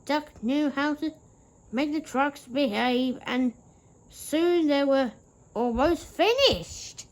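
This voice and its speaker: A woman with a high voice reads a children's story aloud at a slow pace. She reads haltingly, sometimes tripping on a word and starting it again. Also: expressive, rough recording. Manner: halting, stumbles and repeats words while reading.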